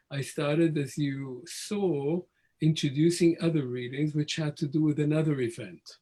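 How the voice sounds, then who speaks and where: Older man, history professor, thoughtful and measured, reworks his sentences, fairly high pitched. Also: a little mellow. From Israel. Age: 60s. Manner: measured, reflective, restarts his phrasing. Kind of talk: conversational academic talk.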